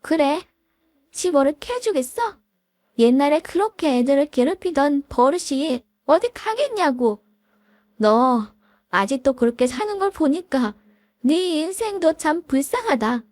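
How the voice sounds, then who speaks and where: A woman voicing a long-hurt wife who confronts her childhood bully with sharp, cold retorts. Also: sits high, big pitch swings, unhurried, no echo, voice pushed up. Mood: accusing. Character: wife, middle-aged woman, long-hurt and now defiant.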